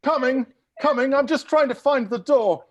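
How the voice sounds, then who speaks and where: A woman's voice: high-pitched, normal speed. A woman playing a theatrical, exclamatory butler in a comedy mystery table read. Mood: flustered, exasperated. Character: flustered, theatrical butler taking charge of a mystery.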